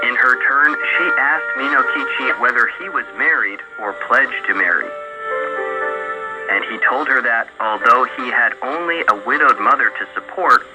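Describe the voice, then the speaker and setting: A man narrating a scripted literary tale in a measured storytelling manner for a radio broadcast. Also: mid-range voice, dull audio. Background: loud music.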